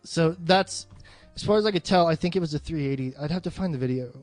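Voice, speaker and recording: A man with a voice pitched a little above average talks in a loose, chatty way. His speech is slangy and full of casual swearing. Faint music plays behind him. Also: fast talker, dry recording. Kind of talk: casual chat about films.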